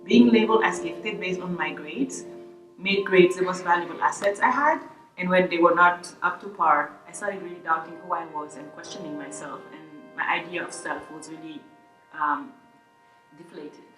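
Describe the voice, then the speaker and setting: A woman's voice: fairly low pitch, moderate pace. A young woman from Senegal, thoughtfully reflecting on her school years in an interview. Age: young adult. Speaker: student interviewee. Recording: roomy, from a distance, music behind.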